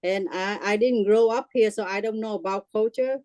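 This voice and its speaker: A middle-aged woman with a Vietnamese accent speaks in a mellow, darker tone, close and dry with little room sound. She talks haltingly, stacking fillers and restarts, and breaks easily into laughter. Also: mid-range voice, dry recording. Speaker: group member sharing her experience. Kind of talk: casual personal sharing.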